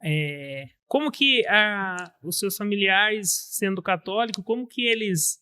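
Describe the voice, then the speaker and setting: High-pitched man hosting a podcast. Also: lively intonation, unhurried, bright, forceful tone, clean tone. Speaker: podcast host. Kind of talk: casual interview.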